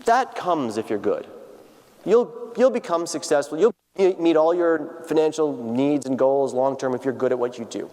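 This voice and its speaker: A man's voice: a little high, expressive, moderate pace, mellow. A man in his thirties giving a personal, earnest lecture to students, often restarting his sentences with fillers. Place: large lecture hall. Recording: long hall reverb.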